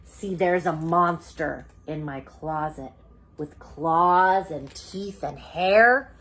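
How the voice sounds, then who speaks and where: A woman voicing a proud little schoolboy narrating his own story, reading slowly and deliberately from a picture book. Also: low-pitched, fairly bright tone, full character voice.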